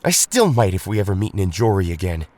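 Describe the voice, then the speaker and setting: A man's voice: sits low, big pitch swings, quick pace, a little mellow, no room sound. A man playing a stern young superhero team leader in an audio drama, reproachful and emphatic toward a friend. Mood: stern, disappointed. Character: Tommy, stern young male Power Ranger leader, disappointed friend.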